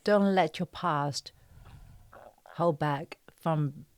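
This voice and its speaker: Woman, low voice, slow and halting, casual podcast guest, close dry sound. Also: clear, resonant tone, no echo. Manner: hesitant, searching for words in short broken phrases. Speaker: guest actress being interviewed. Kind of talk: casual podcast interview chat.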